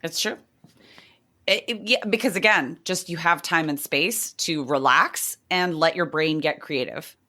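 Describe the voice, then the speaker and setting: A woman co-hosting a teaching podcast, chatty and rambling, her voice slightly hoarse from the end of the school year. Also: sits low, unhurried. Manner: chatty, animated, rambling with fillers. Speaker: podcast co-host. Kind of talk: casual chat.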